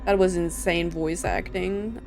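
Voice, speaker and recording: A woman's voice: fairly low pitch, steady tone, a little slow, vocal fry, music in the background. A woman streaming a video game with casual playthrough commentary, sometimes reading on-screen lines aloud.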